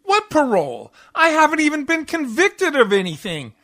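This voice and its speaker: A man acts out an exasperated husband behind bars in a vintage radio comedy. The voice is very bright and forceful, with a dry, close sound. He grumbles with sour sarcasm. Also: extremely high pitch, wildly swooping pitch, moderate pace, no echo, exaggerated voice. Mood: indignant. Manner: grumbling, sardonic. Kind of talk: 1940s radio comedy acting.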